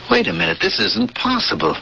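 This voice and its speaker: An actor playing a bewildered, protesting cargo-ship captain in a 1970s radio drama, flustered and argumentative. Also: male voice, wildly swooping pitch, brisk, vocal fry, exaggerated voice. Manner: flustered and argumentative, pitch jumping when alarmed. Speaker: spaceship captain and narrator. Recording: muffled old radio broadcast with loud hiss.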